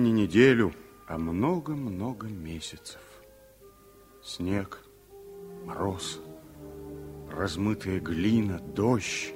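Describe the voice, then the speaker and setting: Slow, grave former exile. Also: male voice, low voice. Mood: somber. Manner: solemn, measured, weighty. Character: grave former political exile, a man haunted by memory. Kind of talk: Soviet radio play dramatic storytelling.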